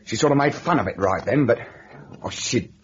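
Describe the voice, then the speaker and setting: Through a dull, noisy old radio recording comes a man acting a lovesick working-class lodger. His pitch swings widely as he stammers and dodges. He is jumpy and defensive, in classic radio-play style. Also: animated, brisk, close to an everyday voice. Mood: dejected, hesitant. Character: nervous, lovesick working-class lodger hiding guilty fear.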